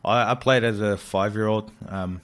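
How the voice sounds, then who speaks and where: A young man from New Zealand, a rugby league player talking as a guest, soft-spoken and hesitant with many pauses. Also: fairly low pitch, steady tone. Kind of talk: casual interview chat.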